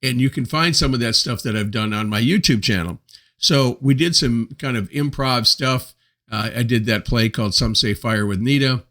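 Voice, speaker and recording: A man in his seventies hosting his own show, telling a personal story in a reflective, unhurried way with many pauses. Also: medium pitch, fairly bright tone. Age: 70s. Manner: unhurried, hesitant with frequent pauses and fillers. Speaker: host.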